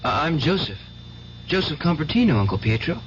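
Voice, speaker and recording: A man's voice: a little high, very animated, fairly quick. An actor playing a meek but self-righteous young man, earnest and hesitant, in radio drama style. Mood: subdued. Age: young adult. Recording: muffled radio drama recording, faint hiss.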